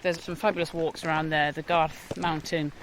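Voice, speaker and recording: A woman's voice: sits low, level tone, noisy background. A Welsh woman in her forties, a guest musician talking in a thoughtful, hesitant way during an outdoor interview on a walk. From Wales. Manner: thoughtful, hesitant, often pauses mid-phrase.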